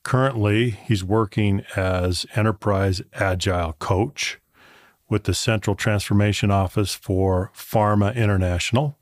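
Host: man, lowish voice, dry close sound, courteous and articulate. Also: no room sound. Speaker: podcast host. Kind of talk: podcast introduction and interview.

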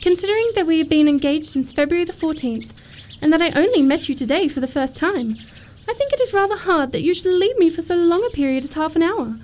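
A woman's voice: very high voice, lively intonation, fast, hardly any pauses, a little mellow. A woman playing a romantic, self-assured young upper-class lady in a period comedy radio play, precise and sweetly matter-of-fact. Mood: composed.

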